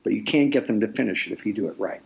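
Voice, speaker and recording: A man speaking as an author guest in a conversational interview, explaining deliberately and repeating phrases for weight. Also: on the low side, animated, creaky. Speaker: nonfiction author, podcast guest.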